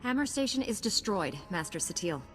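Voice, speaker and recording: A woman's voice: mid-range voice, sing-song, a little fast, soft background music, lightly acted. A woman playing a resolute, confident young Jedi knight in a video game, with a firm, earnest delivery. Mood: determined. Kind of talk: scripted game dialogue.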